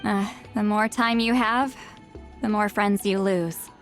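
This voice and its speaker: A woman's voice: mid-range voice, steady tone, slow, faint music behind, lightly acted. A woman voicing a blunt, grumbling, centuries-old shaman in a scripted video game. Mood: reminiscing, dry. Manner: brusque, grumbling, casual. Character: centuries-old female shaman, youthful-sounding, blunt and testy.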